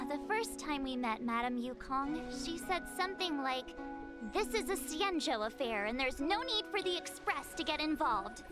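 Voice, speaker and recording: A spirited teenage girl from an anime-style game. Her voice is high and very lively, full of dramatic, chatty complaint. Music plays clearly behind her. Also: normal speed. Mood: exasperated.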